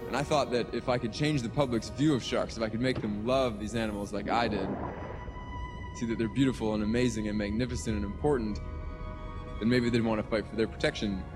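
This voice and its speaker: Earnest man narrating over loud music. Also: fairly low pitch, fairly even intonation, a little fast. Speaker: filmmaker in film clip. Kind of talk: documentary voice-over.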